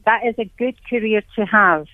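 A woman's voice: medium pitch, normal speed, slight background noise. A woman working as a psychic medium, giving readings to callers over the phone in a motherly way. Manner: motherly, calls callers dear. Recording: tinny phone line.

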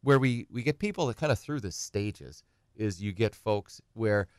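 A man talks casually in a fairly low voice. He rambles through examples and slips into clients' voices to ask their questions. The sound is dry and close. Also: expressive, normal speed, no echo. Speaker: estate planning attorney guest. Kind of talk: conversational talk show explanation.

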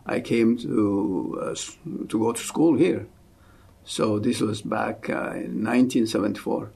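A man in his seventies from Ethiopia, with an Ethiopian accent, giving an earnest, fervent faith testimony as an interview guest and often dropping to a soft hush. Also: fairly low pitch, gravelly, breathy edge. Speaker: guest, missionary.

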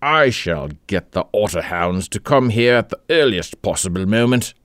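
A fussy, easily riled country gentleman, as a reader plays him, blustering and indignant. His voice swings widely, and the sound is close and dry. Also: on the low side, big pitch swings, measured pace, no echo, pitched above the performer's own voice.

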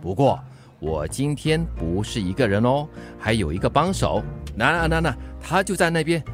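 A man's voice: medium pitch, big pitch swings, moderate pace, faint music behind, natural voice. Actor as a chatty older Singaporean delivery man, swinging intonation. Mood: cheerful, chatty. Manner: chatty, full of sighs and exclamations. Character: chatty older male delivery driver, good-natured and self-deprecating. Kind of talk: Mandarin radio drama acting.

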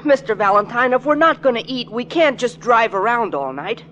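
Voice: mid-range voice, expressive, moderate pace, a bit bright, muffled recording, slight background noise, lightly acted. An actor playing an earnest young assistant in a 1940s radio drama, pleading and eager.

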